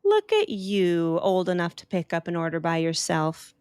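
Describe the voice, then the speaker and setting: A woman voicing a kind, motherly baker in a storybook reading, speaking gently. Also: lowish voice, very animated, moderate pace, clean tone, no echo, clean studio sound. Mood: kindly. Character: kind grown-up female baker.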